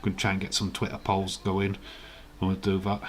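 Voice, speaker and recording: A man with a croaky, hoarse voice, hosting a casual live stream, chatting in a rambling way and reading out viewer comments. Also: deep voice, monotone, fairly quick.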